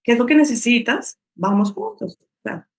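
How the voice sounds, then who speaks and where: A woman's voice: fairly high pitch, sing-song, normal speed. A woman psychotherapist as a podcast guest. She speaks thoughtfully and explains her ideas through everyday analogies. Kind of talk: intimate conversational interview.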